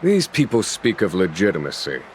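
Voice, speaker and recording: A man playing a wise, shrewd general in a game, speaking in a measured, formal and quietly amused manner. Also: sits low, huge pitch swings, a little hiss or hum. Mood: measured, explanatory. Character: wise, calm, shrewd male general, recuperating. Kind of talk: English game voice acting, measured dialogue.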